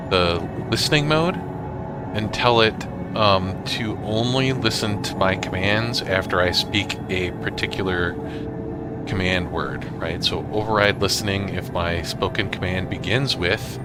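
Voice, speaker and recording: A man giving a casual software tutorial, thinking aloud in an informal, halting way. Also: deep voice. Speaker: tutorial host.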